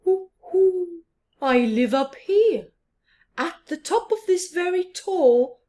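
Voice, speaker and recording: A woman voicing an inquisitive woodland owl in a children's story, with hooting, drawn-out cries. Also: extremely high pitch, lively intonation, dark tone, voice pushed up, exaggerated voice. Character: hooting owl living high in a quiet tree.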